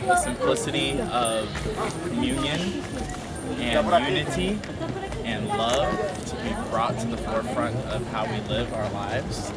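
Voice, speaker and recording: A man's voice: medium pitch, measured pace, over a noisy crowd, very noisy. A man from Barbados, an acro yoga teacher interviewed outdoors at a festival, speaking in earnest, flowing spiritual phrases. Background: loud music.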